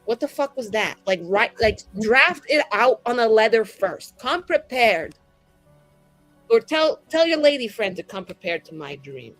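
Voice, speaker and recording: A woman's voice: medium pitch, pitched below the performer's own voice, lightly acted, poor audio. A woman roleplaying an exasperated, loving sister who scolds her brother in blunt, sarcastic slang. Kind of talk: improvised in-character tabletop roleplay.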